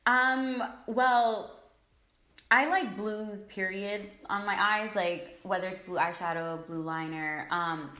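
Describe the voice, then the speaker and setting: Bright-voiced young woman, echoey room. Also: medium pitch, slow pace. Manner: relaxed, lots of likes and ums. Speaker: guest, makeup influencer. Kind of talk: casual interview chat.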